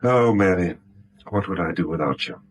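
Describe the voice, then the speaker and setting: An actor playing an uneasy, subdued English husband and bank manager in a 1970s radio drama. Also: male voice, sits low, animated, moderate pace. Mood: fond, wry.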